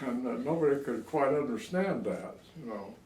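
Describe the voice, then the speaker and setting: A man in his eighties with an American accent, reminiscing in a halting, rambling way in a casual interview. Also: medium pitch, expressive, darkish tone. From United States. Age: 80s. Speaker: oral history interviewee. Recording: echoey room, distant microphone.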